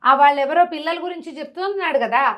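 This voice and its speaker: Female storyteller with a fairly high voice and a lively, repetitive conversational delivery. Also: quick pace. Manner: lively, rises and drops in pitch and volume; repeats words and restarts. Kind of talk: telling a devotional story to an interviewer.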